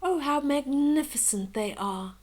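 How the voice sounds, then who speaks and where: Granny doing a sad lil duckling boy for a storybook, high whiny voice, feels unloved. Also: animated, measured pace, darkish tone. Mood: wistful. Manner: plaintive, self-pitying. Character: sad, lonely, unloved little male duckling.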